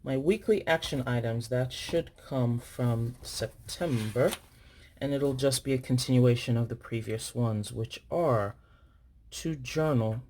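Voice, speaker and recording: A woman's voice: very deep voice, measured pace, warm tone, slight background noise. A woman from Nigeria chatting casually to her viewers while filling in her planner, thinking aloud and correcting herself as she writes. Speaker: planner vlogger.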